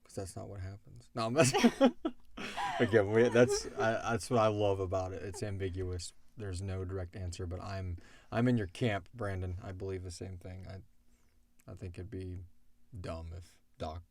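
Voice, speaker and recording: A man co-hosting a casual question-and-answer chat, speaking in an animated way and swearing freely. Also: sits low, normal speed, a little hiss or hum. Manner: animated, swearing, acts out imagined dialogue. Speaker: co-host of an audio drama Q&A show.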